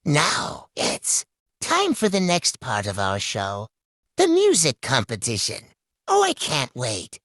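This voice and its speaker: A woman with a low voice hosts a playful puppet show. Her delivery is theatrical and hugely varied in pitch, taken slowly, and the recording is dry. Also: wildly swooping pitch, dry recording. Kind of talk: playful improvised show hosting.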